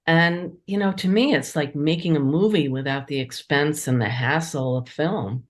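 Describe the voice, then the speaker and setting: A woman with a distinctly low-pitched voice gives conversational answers in an interview. She speaks as a writer about her work, with an appreciative, enthusiastic manner. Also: normal speed. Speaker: interviewed playwright and editor.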